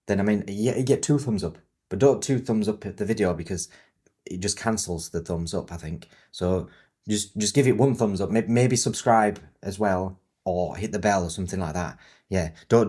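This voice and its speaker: A deep-voiced man in his thirties, husky and croaky with a cold, rambles through a tutorial in his home studio. He jokes and mocks himself as he goes, and soft music plays faintly behind him. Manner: rambling, joking, self-mocking. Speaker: video tutorial host. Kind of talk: casual chatty tutorial. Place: home studio set in a new house.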